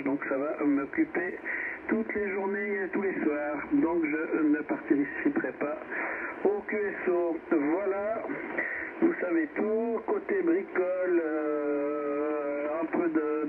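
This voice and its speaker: A man chatting casually and hesitantly as an amateur radio operator over shortwave radio. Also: on the high side. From Switzerland. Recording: narrowband shortwave radio, loud static noise.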